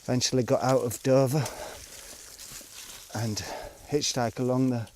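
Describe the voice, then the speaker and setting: A man in his forties reminisces about his travels in a loose, wandering way. His voice sits at an ordinary mid pitch, and he often pauses on fillers and backs up mid-sentence. A faint background hiss runs under the recording. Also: fairly even intonation, darkish tone. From England. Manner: rambling, unhurried, frequent fillers and restarts. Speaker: traveller recounting his life. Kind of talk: casual spoken storytelling.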